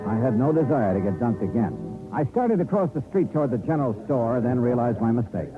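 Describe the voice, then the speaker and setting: A man's voice: mid-range voice, lively intonation, a little fast, close to an everyday voice. An American man acting as a wry, clipped insurance investigator in an old-time detective radio drama. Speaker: lead actor and first-person narrator. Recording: vintage narrow-band radio, music behind.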